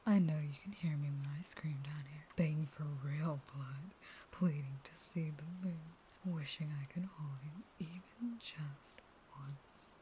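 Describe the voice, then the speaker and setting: A woman playing a starving, unstable caged vampire who pleads with a lover in a breathy, intimate, dramatic whisper. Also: low-pitched, unhurried, dark tone, a little hiss or hum. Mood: sorrowful begging. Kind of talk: intimate whispered roleplay audio monologue.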